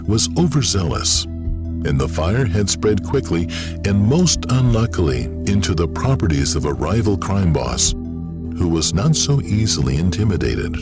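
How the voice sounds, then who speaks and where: A man narrating a scripted dramatic story in a measured, wry storyteller's manner. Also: sits low. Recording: loud music behind.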